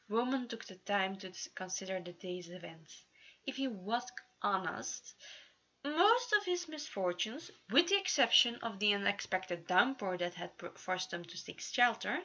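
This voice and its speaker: Amateur female story narrator, scripted fiction reading, bright middle-pitched voice, slightly lo-fi sound. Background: no background atmosphere.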